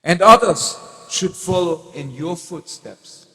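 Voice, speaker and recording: In a cavernous echo, a high-voiced god acted by a man speaks very deliberately. He is solemn, commanding and benevolent, declaiming as in sacred drama. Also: expressive, bright, forceful tone, heavily acted. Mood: benevolent, blessing. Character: male Supreme Lord, half-lion deity, solemn, commanding, benevolent. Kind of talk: slow dramatic scripture reading. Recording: echoey hall, long reverb.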